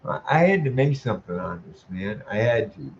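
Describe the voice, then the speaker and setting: A man ranting in a profane monologue, ending sentences with "man," chuckling, and stalling on drawn-out "uh" sounds. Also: lowish voice, expressive, dull audio, a little hiss or hum. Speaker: vlogger.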